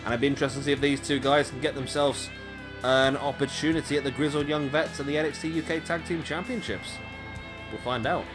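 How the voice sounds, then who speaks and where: Guy doing laid-back wrestling game commentary at home, kinda hoarse, music playing under him. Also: mid-range voice, fairly even intonation, fast talker. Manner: chatty, rambling asides to viewers. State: voice going hoarse. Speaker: gaming commentator. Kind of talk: casual play-by-play commentary. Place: home room, late at night.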